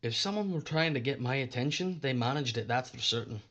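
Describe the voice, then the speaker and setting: A man's voice: medium pitch, expressive, brisk, a bit bright. A man playing a deferential, plain-spoken working-class witness in a wartime London audio drama, talking in colloquial phrases. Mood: shaken. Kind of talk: period audio drama acting. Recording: faint background noise.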